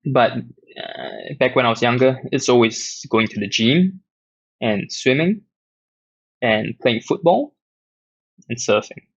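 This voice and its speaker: A young man with a Singaporean accent, hosting a casual late-night Q&A, reading questions aloud and answering in a relaxed, rambling way with plenty of fillers. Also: medium pitch, slow pace, gravelly, pauses often, breathy edge, no room sound. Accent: Singaporean English. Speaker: app community host answering questions. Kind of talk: casual livestream Q&A. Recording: dull lo-fi dry audio.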